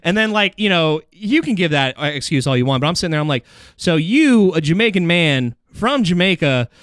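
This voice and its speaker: A young man telling casual comedic stories in a rambling, animated, slangy way with plenty of swearing. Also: fairly high pitch, brisk, clean tone, no room sound, studio quality. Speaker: podcast guest, comedian.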